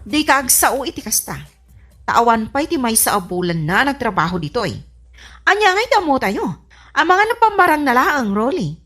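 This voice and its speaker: Suspicious madam of the house, actress, stern questions, highish and animated, comedy drama. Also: female voice, normal speed, faint music behind, heavily acted. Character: strict, suspicious wife and household boss. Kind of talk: comedy radio drama acting.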